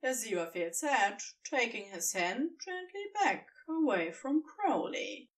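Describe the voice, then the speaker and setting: A woman narrates a story in a fairly high, expressive voice with lively swings of pitch. She takes her time over the scripted prose and sounds a little way from the mic. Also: measured pace, distant mic. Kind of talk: scripted fan fiction narration.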